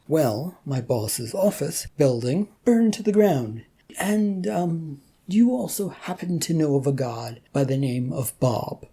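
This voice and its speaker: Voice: medium pitch, lively intonation, takes it slowly, warm tone, slightly rough, heavily acted. A narrator voicing an anxious, exasperated man with a hesitant, sarcastic manner in a story reading. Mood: worried, suspicious. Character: exasperated, anxious everyman, reluctant prophet of a god.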